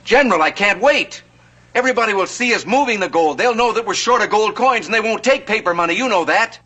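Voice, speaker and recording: An actor playing a smooth, scheming banker in a 1950s television western, dry and persuasive in the way he talks. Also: sits high, animated, quick pace, sharp tone, heavily acted. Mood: urgent. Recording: old TV soundtrack with faint music.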